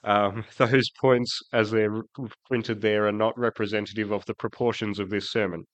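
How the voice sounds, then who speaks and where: A man preaching a sermon in church, croaky from a cold, hesitant, with many ums and restarts. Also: lowish voice, flat delivery, normal speed, dry recording. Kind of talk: sermon with prayer.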